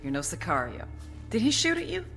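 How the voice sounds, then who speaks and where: A cool female intelligence agent in a game drama. Her voice sits low, controlled and coaxing with a threat underneath. Music runs clearly behind the dialogue. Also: huge pitch swings, a little fast, fairly bright tone, full character voice. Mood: coaxing, menacing.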